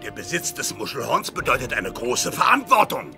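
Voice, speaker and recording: An actor playing a kindly, doddering old superhero with theatrical, pompous delivery in a cartoon audio drama. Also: male voice, medium pitch, big pitch swings, vocal fry, music in the background. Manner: theatrical, slow, pompous heroic declaiming.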